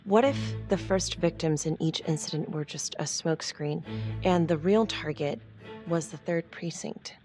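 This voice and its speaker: Lowish-voiced composed female attorney, played by an actress. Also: moderate pace, a little mellow, lightly acted. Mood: probing. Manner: cool, direct, inquisitive. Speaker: video game actor. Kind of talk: naturalistic crime drama acting. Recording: faint music under the dialogue. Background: background music.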